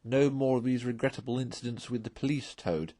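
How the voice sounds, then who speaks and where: A storybook water rat, doubtful yet kindly, acted by a man. He chides his friends gently and dryly, in a close recording with little echo. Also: mid-range voice, a little fast, close to an everyday voice. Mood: kindly, chiding. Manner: dry, doubtful, gently chiding.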